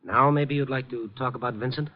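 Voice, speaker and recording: A man's voice: medium pitch, steady tone, fairly quick, lightly acted. Muffled vintage radio actor as probing newspaper man. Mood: cool, pressing. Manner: wry, questioning, laconic. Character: cool, probing, world-weary newspaper reporter, adult man. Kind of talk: 1950s radio drama acting and first-person narration.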